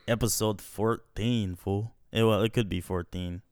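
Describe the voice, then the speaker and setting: A man's voice: fairly low pitch, fairly even intonation, unhurried, darkish tone, clean tone, no echo, clean studio sound. A young man hosting a casual podcast at home, slangy and laid-back, swearing often. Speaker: podcast host. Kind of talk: casual profane podcast chat.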